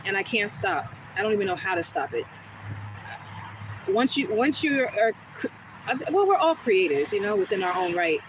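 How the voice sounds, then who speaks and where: A woman with a smooth, soothing voice, chatting casually with viewers during a live stream and answering their comments as she goes. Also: mid-range voice, normal speed. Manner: relaxed, rambling, talks back to chat comments. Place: outdoors on a quiet street. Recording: noisy outdoor phone recording.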